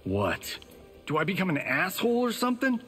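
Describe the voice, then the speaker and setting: Wisecracking male film star, high and animated, flippant game banter in long reverb. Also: a little fast, faint music behind, exaggerated voice. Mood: cocky, flippant. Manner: flippant, showy. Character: cocky, wisecracking vain male movie star fighter. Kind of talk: video game fight intro dialogue.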